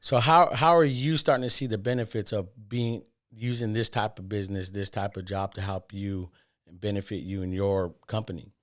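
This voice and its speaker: A man about forty hosts a podcast in a relaxed, rambling way, full of fillers. His voice sits a little low. He keeps it friendly and informal. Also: normal speed. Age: 40s. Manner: loose, filler-heavy, says "man" and "dude". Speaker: podcast host. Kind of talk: casual podcast chat.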